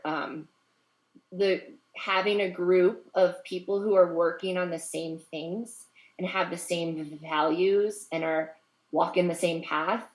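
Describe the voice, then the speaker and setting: Reflective middle-aged woman, echoey room. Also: fairly low pitch. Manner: candid, reflective, laughs easily while telling stories. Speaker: group chat participant. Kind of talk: casual chat.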